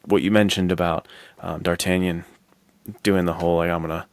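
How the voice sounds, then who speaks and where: A man with a deep voice and an American accent talks casually about films as a podcast co-host. His delivery is slangy and enthusiastic, and the sound is dry, with very little room in it. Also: level tone, brisk, no room sound. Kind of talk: casual film chat.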